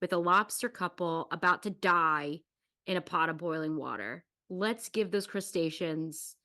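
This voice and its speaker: A woman's voice: fairly low pitch, unhurried, pushed and bright. Woman chatting and telling stories casually. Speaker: playwright and actor. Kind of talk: casual chat, storytelling.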